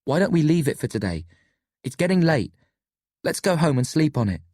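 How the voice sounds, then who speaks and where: Narrator doing bossy worried boy taking charge in an audiobook, urgent, higher pitch. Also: big pitch swings, a little fast, a little mellow, no echo, clean studio sound. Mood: wary. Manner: stern, urgent, soothing by effort. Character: wary, bossy boy trying to keep control.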